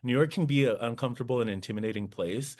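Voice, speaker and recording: A man's voice: mid-range voice, fast, a little mellow. A young man, a podcast guest, talking earnestly in casual interview chat and often restarting his sentences.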